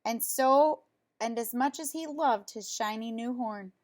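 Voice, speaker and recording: A woman with a fairly high voice reads a picture book aloud for young children. Her delivery is expressive and simply put, like someone sharing a story with little listeners. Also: measured pace. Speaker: storybook reader.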